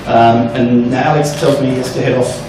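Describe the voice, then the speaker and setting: A man's voice: medium pitch, steady tone, a little fast. A man introduces a guest speaker to an audience, hesitating with frequent fillers. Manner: hesitant, many "um" and "so". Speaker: event host introducing a speaker. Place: large echoey room with an audience. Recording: echoey room from far off with noise.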